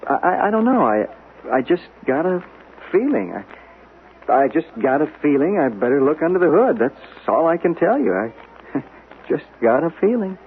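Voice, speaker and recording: A man's voice: on the high side, sing-song, moderate pace. An actor playing a nervous, evasive male friend who hesitates and stammers. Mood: awkward. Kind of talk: 1970s radio drama acting. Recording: tinny old radio broadcast with faint hiss.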